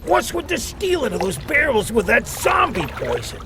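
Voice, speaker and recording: A man playing a hot-headed, wisecracking Italian-American gangster in a video game, with a brash New York accent and a gravelly voice. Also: very high voice, wildly swooping pitch, moderate pace, background noise, exaggerated voice. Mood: confrontational. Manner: brash, tough-talking, slangy. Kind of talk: video game cutscene acting.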